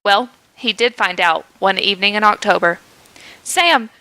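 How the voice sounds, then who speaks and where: Woman podcast host, playful comic reading, bright voice, dry close sound. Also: medium pitch, moderate pace, smooth, no room sound, rough recording. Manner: playful, laughs at the text. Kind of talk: reading a comic story aloud.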